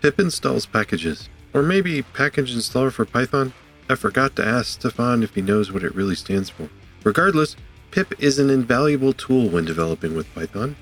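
A man's voice: mid-range voice, expressive, moderate pace, fairly bright tone, faint music behind. A man hosting a podcast, curious and conversational as he introduces the episode and asks questions. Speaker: podcast host.

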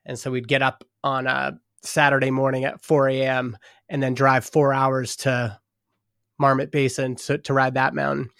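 A man's voice: medium pitch, monotone, normal speed, creaky, fairly bright tone, no echo, clean studio sound. A man from northern Alberta with a Canadian accent, chatting casually as a guest on a podcast. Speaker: podcast guest, startup founder. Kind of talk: casual interview chat.

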